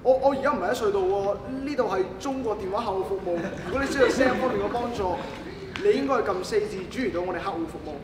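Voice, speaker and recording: A man's voice: sits high, very fast, over a noisy crowd, close to an everyday voice. A man, a native Cantonese speaker, playing a patient, sympathetic call-centre agent in a stage comedy. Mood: polite, helpful. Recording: echoey hall, far mic, clear noise.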